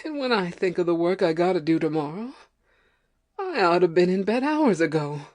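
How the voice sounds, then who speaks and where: Overworked farm wife played by a woman, lowish voice, fretful and complaining, rustic dialect, audio drama. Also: sing-song, moderate pace, darkish tone. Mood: weary, complaining. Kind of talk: amateur audio play acting.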